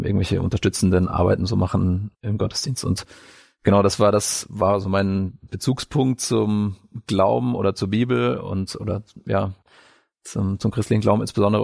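A man from northern Bavaria telling his life story as an interview guest, halting as he searches for words. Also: lowish voice, vocal fry, darkish tone, no echo, clean studio sound. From northern Bavaria, Franconia, Germany. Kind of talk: personal life story in conversation.

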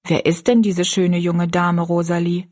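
A woman's voice: fairly low pitch, little pitch movement, unhurried, a little mellow. Woman voicing a worried, practical servant girl. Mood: admiring. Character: concerned, plain-spoken servant girl, loyal friend.

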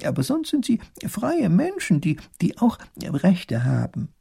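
A man's voice: high-pitched, huge pitch swings, a little slow, creaky, warm tone, slightly rough, dry recording, clean studio sound, voice pushed up, heavily acted. A man voicing a clever court professor and minister who explains things patiently. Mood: explaining. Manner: explaining carefully, with filler hesitations.

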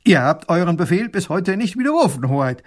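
A man's voice: high voice, sing-song, fairly quick, voice pushed up. A man voicing a proud, literal-minded soldier who answers in clipped, dutiful military phrases. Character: eager, literal-minded, proud young male guard.

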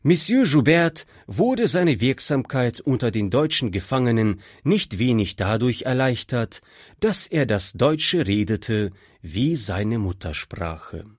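Male audiobook narrator, slow and measured, husky, lively pitch, dry close mic. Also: no room sound. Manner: slow, measured, devout storytelling.

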